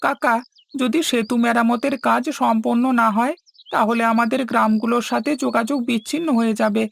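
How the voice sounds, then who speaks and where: A woman's voice: a little high, steady tone, brisk, vocal fry. A woman playing a worried villager in a cartoon, with formal, respectful, concerned scripted lines.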